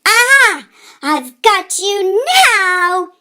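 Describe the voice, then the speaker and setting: A woman's voice: very high voice, expressive, drawn-out words, sharp tone, pitched above the performer's own voice, heavily acted. A woman voicing a mischievous, sulky schoolboy in a children's storybook, petulant and exclamatory. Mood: gleeful.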